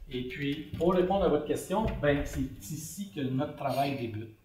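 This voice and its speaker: A man with a Québécois French accent, a conference speaker answering audience questions in a relaxed, explanatory way with frequent fillers. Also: on the high side, unhurried, a little hiss or hum. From Canada (Quebec). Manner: conversational, explanatory with many fillers. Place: large conference hall. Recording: echoey hall recorded from far off.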